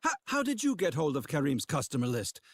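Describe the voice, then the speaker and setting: A defensive male townsman from an adventure game, scornful and exaggerated. His fairly high voice swoops dramatically, recorded close and dry. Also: huge pitch swings, a little fast, no room sound, exaggerated voice. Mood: evasive, indignant. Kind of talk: video game character dialogue.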